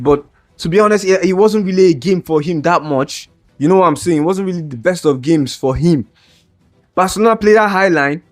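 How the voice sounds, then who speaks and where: A young man ranting in a heated, exasperated way about a football match, stumbling over restarts and straining his voice. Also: fairly high pitch. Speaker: football fan vlogger. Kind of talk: ranting reaction video.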